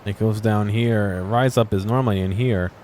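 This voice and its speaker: Low-voiced man musing over a game. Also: steady tone, normal speed, a little mellow, a little hiss or hum. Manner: musing, thinking aloud. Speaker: gameplay video host. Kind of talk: casual unscripted game exploration commentary.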